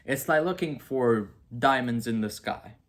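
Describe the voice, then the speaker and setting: Young man, Romanian accent, rambling monologue, self-mocking, mid-pitched voice. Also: measured pace. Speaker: video creator. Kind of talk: casual talk to camera on philosophy.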